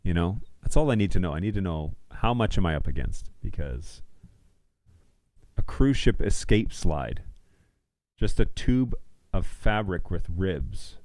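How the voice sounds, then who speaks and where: A man's voice: low voice, lively intonation, a little slow, a little mellow, dry recording. A middle-aged man hosting a livestream, chatting informally and rambling as he thinks aloud while browsing. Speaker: livestream host.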